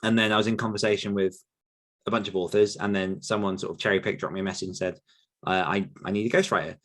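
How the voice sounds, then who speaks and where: A man's voice: fairly low pitch, fairly even intonation, fast talker, a bit bright. A man with an English accent answering listener questions in a casual, rambling podcast chat, with frequent fillers and restarts.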